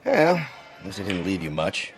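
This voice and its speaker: A man's voice: low-pitched, big pitch swings, moderate pace, creaky, soft background music, heavily acted. An actor playing an easygoing but wary traveling man in a radio drama, casual and skeptical in his talk. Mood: amused.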